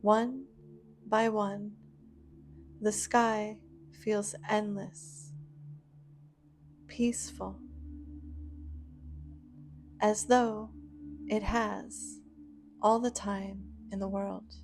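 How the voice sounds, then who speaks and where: A woman leads a guided relaxation at an extremely slow pace, leaving long pauses between short phrases. Her delivery is gentle and soothing, set over soft meditation music with singing bowl tones. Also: mid-range voice, flat delivery. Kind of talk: guided imagery meditation, scripted narration.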